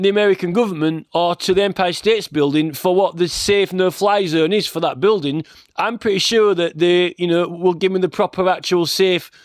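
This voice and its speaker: High-pitched man, rambling and aggrieved. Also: no echo, clean studio sound. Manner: aggrieved, insistent, repeatedly tags points with "right?". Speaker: complainant campaigning about aviation safety. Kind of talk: rambling informal spoken monologue.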